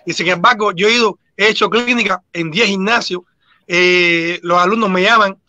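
Cuban guy on a headset call, high bright voice, dry sound, keeps repeating himself. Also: moderate pace, no echo. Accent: Cuban Spanish. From Cuba. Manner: earnest, emphatic, repeats himself. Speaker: boxing coach, guest. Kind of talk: panel discussion on a video call.

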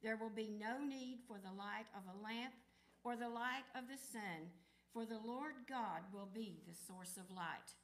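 Woman reading scripture slowly in church. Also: on the high side. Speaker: cantata narrator. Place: large church sanctuary.

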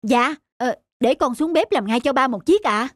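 A woman voicing a respectful, ambitious son who runs a bakery, earnest and formal, in an animated story. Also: high-pitched, normal speed, dry recording, studio quality. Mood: flustered. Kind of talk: animated moral-story acting.

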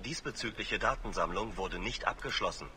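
An actor playing an emotionless holographic ship computer, reporting data in a flat, formal, precise way. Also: male voice, fairly low pitch, normal speed, slight background noise. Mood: detached, factual.

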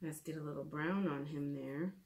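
A woman in her thirties, a children's teacher, talks gently and simply through a drawing lesson, thinking aloud, recorded in a living room by a camera a few feet away. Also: sits low, moderate pace. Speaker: children's art and reading teacher. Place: living room at home, indoors.

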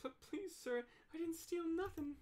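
A man's voice: extremely high pitch, normal speed, a little mellow, voice pushed up. A man voicing a frightened young refugee woman from a game, stammering and pleading.

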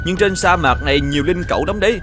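A man's voice: high-pitched, fast talker, natural voice. Old male desert rider, acted by a man, helpful, concerned, cartoon folktale, music underneath. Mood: kind, concerned. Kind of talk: animated folktale dialogue.

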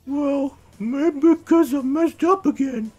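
A man voicing an earnest little boy fox in a squeaky falsetto for a storybook. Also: slow, a little mellow. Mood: quiet, downcast. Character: eager, timid little two-tailed boy fox.

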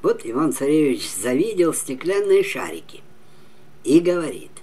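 A man in his seventies narrating a fairy tale expressively and emphatically, with dramatic pauses and drops to a near whisper. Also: fairly high pitch, sing-song, darkish tone, husky. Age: 70s. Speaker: storyteller.

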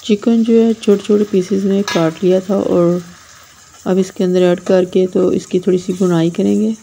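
Brief: Pakistani woman cooking host, dark gravelly tone, plain step-by-step instructions. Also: mid-range voice, steady tone, moderate pace, a little hiss or hum. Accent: Pakistani Urdu. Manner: plain, repetitive instructional delivery. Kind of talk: step-by-step recipe voice-over. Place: home kitchen.

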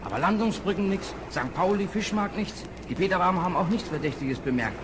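A man's voice: sits high, animated, brisk, background noise. An actor playing a sceptical, stern policeman in a radio play, clipped and matter-of-fact. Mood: doubtful.

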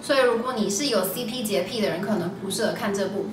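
A woman's voice: medium pitch, quick pace. A woman in her twenties with a Taiwanese Mandarin accent, hosting a scripted recommendation vlog. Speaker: video host. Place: small indoor room. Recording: echoey room, slightly distant mic. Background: background music.

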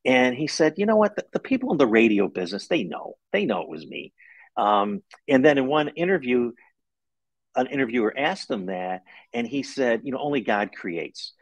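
A middle-aged man telling a story in a conversational interview, in a measured, explanatory and at times halting way, as an author on a video call. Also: medium pitch, very animated, dry recording, low-quality recording. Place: room at home, indoors.

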